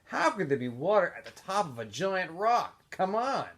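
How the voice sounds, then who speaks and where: A man, a teacher, reading a novel aloud for students, with expressive delivery, small asides and self-corrections. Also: high voice, normal speed. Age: adult.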